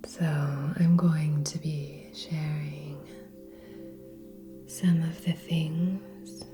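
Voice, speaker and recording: A woman speaking soft, breathy ASMR in a near-whisper, gently hosting with long pauses between short phrases. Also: low-pitched, level tone. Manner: slow, gentle, hushed delivery with long pauses. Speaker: ASMR channel host. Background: relaxing music with singing bowl tones.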